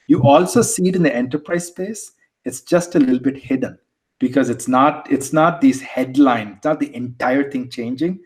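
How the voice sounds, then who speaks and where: Man, Indian accent, podcast guest, explanatory, mid pitch, restarts and repeats words. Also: a little mellow. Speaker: guest, tech executive. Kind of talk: conversational interview answers.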